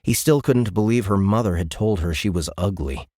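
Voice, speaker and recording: A man's voice: lowish voice, normal speed, a little mellow, no echo. A man reading scripted fiction as an audiobook narrator in a dramatic storytelling style. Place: dry recording studio.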